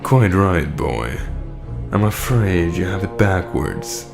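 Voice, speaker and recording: Audio drama vampire lord: very low, slow, gravelly, smugly theatrical menace. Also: male voice, sing-song, music in the background, full character voice. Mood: smug gloating.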